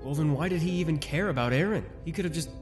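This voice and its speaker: Someone doing a pushy cadet, talks fast, mid pitch, music in back. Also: natural voice.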